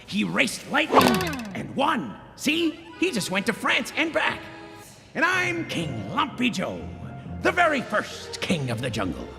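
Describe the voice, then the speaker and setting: A man voicing a brash, folksy old jungle king spirit in a cartoon, with a gravelly voice and slangy, boastful, blunt talk. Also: very high-pitched, wildly swooping pitch, a little slow, over music, exaggerated voice. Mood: proud, chatty.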